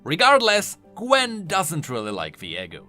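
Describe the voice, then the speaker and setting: Male game lore explainer: highish, swooping pitch, emphatic and enthusiastic, light music underneath. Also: wildly swooping pitch, a little slow, pushed and bright. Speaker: video game lore commentator. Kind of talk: explanatory commentary voice-over. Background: faint music.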